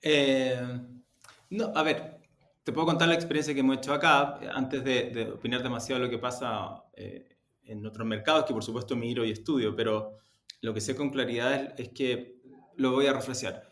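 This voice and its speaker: A man's voice: mid-range voice, steady tone, normal speed, a bit bright. A man with a Chilean accent giving explanatory, conversational interview answers, emphatic and often rephrasing himself as he goes. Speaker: media executive being interviewed. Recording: roomy, echoing sound.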